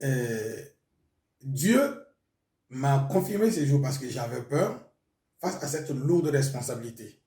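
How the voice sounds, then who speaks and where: A man's voice: medium pitch, expressive, slow, pauses often, warm tone. Man, pastor from Burkina Faso, Mooré first language; hesitant testimony, distant mic, echoey room. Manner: hesitant, with frequent fillers. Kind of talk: testimony interview.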